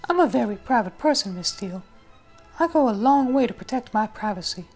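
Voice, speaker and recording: A woman plays a self-assured, enigmatic rich businessman in an audiobook. The delivery is formal and suggestive with an arrogant edge, pitched fairly high for a woman, with music underneath. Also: expressive, fairly quick, darkish tone. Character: arrogant, enigmatic wealthy businessman being interviewed.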